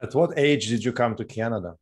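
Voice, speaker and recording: A man's voice: medium pitch, lively intonation, brisk, breathy edge. A man hosting an interview podcast, friendly and a bit hesitant, with frequent fillers. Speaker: podcast host.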